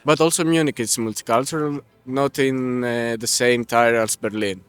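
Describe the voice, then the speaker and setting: Smooth-voiced measured man. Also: mid-range voice, fairly even intonation, soft background music. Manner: measured, with fillers. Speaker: street interviewee. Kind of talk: casual street interview answer.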